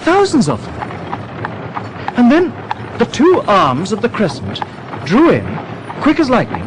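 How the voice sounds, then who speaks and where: A man playing an earnest, shaken witness to strange horrors in a radio drama, speaking in halting, dramatic phrases. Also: high-pitched, wildly swooping pitch, background noise, full character voice. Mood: awed, grim.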